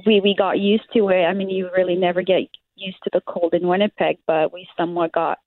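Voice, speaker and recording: A woman's voice: on the low side, flat delivery, a little fast, dry recording. Young Liberian woman, phone-like sound. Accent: Liberian English. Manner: hesitant, many fillers, laughs often. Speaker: interviewee. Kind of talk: interview answers.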